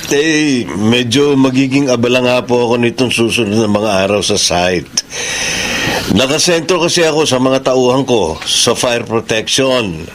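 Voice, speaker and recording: An actor playing a polite, gentle, hardworking adult son in a radio drama. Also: male voice, medium pitch, lively intonation, unhurried, slight background noise. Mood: respectful, caring. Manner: gentle, respectful. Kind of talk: Tagalog radio drama acting.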